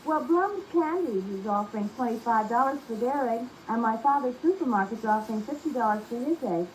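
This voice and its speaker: A woman playing a fond but exasperated young girlfriend in a 1940s radio comedy, with chiding, expressive delivery. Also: on the high side, a little fast, close to an everyday voice. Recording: muffled, noisy old radio recording.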